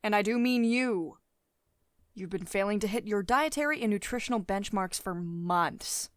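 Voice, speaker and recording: A woman's voice: medium pitch, moderate pace, no echo, clean studio sound, lightly acted. A woman playing a stern, commanding CEO in an ASMR roleplay, scolding sardonically and condescendingly, with a caring streak. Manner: domineering, sardonic, clipped reprimands with sugary pet names.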